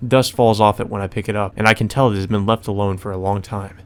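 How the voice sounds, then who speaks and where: A man's voice: fairly low pitch, fairly even intonation, fast, clean tone. A man reading a visual novel aloud and adding casual commentary of his own as a let's player. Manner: slips into character dialogue, ad-libbed asides. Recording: music behind the voice. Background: game music.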